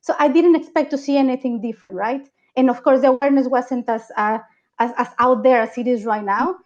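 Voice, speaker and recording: A woman's voice: sits high, steady tone, brisk, darkish tone, highs cut off. A woman from Mexico speaking earnestly on a panel, often repeating words and adding "right?". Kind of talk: panel discussion.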